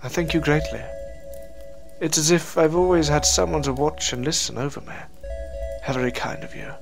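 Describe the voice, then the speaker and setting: A man playing a weary, bewildered adventurer in an audio drama, speaking in a halting, earnest way. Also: a little high, gravelly, over music. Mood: wistful. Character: weary, bewildered male adventurer returned from limbo, reflective and sincere.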